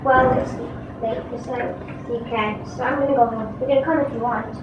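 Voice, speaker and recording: A female reader plays a friendly young herder boy with a high, matter-of-fact delivery. It is an amateur stage play in an echoey room, with clear noise behind. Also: steady tone, normal speed, distant mic, dull audio, lightly acted. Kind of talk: amateur readers theatre performance.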